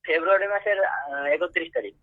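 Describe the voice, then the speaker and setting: Young male call recipient, exasperated and husky, talking fast in casual protest over lo-fi phone audio. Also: a little high, animated, dry recording. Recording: dull lo-fi phone line.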